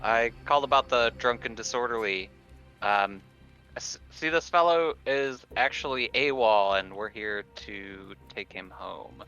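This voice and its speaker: A man's voice: lowish voice, a little slow, bright, forceful tone, clear, resonant tone, soft background music. A man playing a tabletop roleplaying game, speaking in character as a player, on dull, muffled audio.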